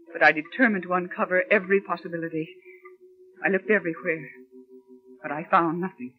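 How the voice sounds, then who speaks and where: A woman's voice: fairly low pitch, lightly acted. An actress playing an earnest, determined female secretary haunted by suspicion, in old-time radio mystery style. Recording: dull lo-fi old radio recording.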